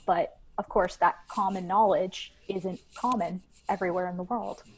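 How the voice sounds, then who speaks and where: A woman's voice: fairly low pitch, steady tone, moderate pace, breathy edge, rough recording. A woman guest on a podcast interview, explaining her research in a thoughtful, conversational way with frequent fillers.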